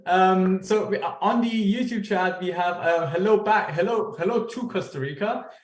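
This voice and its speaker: A man's voice: high-pitched, normal speed. A man hosting a meetup on a video call, friendly and laughing often while reading out the chat. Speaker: meetup host. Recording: echoey video call audio.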